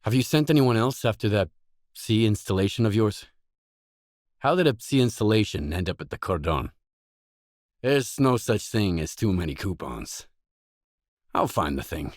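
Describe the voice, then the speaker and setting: A man's voice: on the low side, lively intonation, moderate pace, long pauses, no echo, studio quality, natural voice. A man playing a matter-of-fact mercenary stalker, the hero of a video game, speaking in terse, businesslike dialogue lines. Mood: matter-of-fact, guarded.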